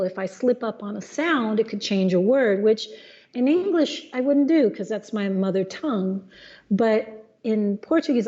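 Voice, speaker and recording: A middle-aged woman, a jazz singer, talking in a relaxed interview, thoughtful, with many restarts. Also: a little high, highs cut off. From Los Angeles, USA. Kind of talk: casual conversation.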